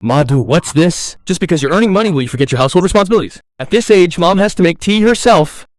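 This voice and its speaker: A critical young husband in a story drama, performed by a man. His voice is a little high for a man, with a reproachful, serious manner. It is recorded dry, with little room sound. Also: animated, quick pace, no echo. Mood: stern. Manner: stern, reproachful. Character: demanding, critical young husband, later remorseful.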